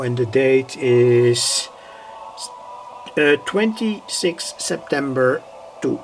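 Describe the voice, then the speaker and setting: A man's voice: medium pitch, a little hiss or hum. A man giving an informal, hesitant technical demonstration, repeating himself as he explains each step. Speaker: electronics hobbyist presenter.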